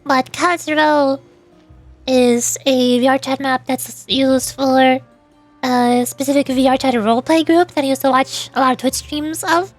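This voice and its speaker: A woman's voice: high-pitched, steady tone, a little slow. A woman streamer giving casual, chatty live commentary on a game in a playful way. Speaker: VTuber streamer. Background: faint music.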